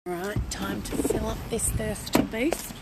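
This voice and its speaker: A woman's voice: mid-range voice, flat delivery, measured pace, heavy background noise. A woman in her thirties with an Australian accent chatting casually and playfully in a slangy road-trip vlog, recorded on a phone. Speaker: vlogger. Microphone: phone at arm's length. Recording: loud music behind phone vlog audio. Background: loud music.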